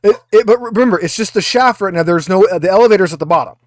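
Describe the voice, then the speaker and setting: Halting, higher-voiced man as game master. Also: dry recording, studio quality. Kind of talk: improvised tabletop game narration, casual.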